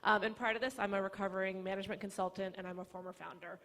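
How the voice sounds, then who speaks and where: Woman panelist, articulate, medium pitch, smooth talker with a few ums. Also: flat delivery, racing, a bit bright. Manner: articulate, fluent with light fillers. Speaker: panelist, business school lecturer and angel network founder. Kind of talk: panel discussion.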